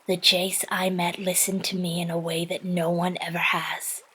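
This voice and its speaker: A woman playing an earnest, fiercely supportive woman in an audio drama, speaking with tender, emphatic reassurance in a husky, breathy voice. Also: lowish voice, little pitch movement, normal speed, fairly bright tone, poor audio.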